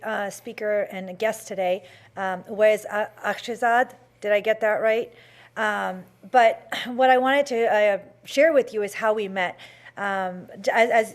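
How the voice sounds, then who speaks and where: A woman hosting a talk show, telling a story in a chatty way with many fillers and restarts. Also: mid-range voice.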